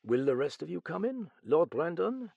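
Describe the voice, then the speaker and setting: Man acting calm French detective, grave, theatrical, measured delivery, creaky voice, dry close sound. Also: fairly high pitch, no room sound, narrow, tinny audio, pitched above the performer's own voice, full character voice. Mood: grave command.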